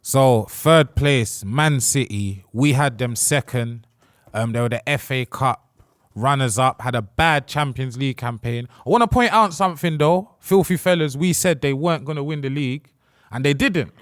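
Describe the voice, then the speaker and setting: A man's voice: mid-range voice, moderate pace, no echo, clean studio sound. A man hosting a football podcast, teasing his co-hosts and often laughing. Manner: teasing, laughs often, talks over others. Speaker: podcast host.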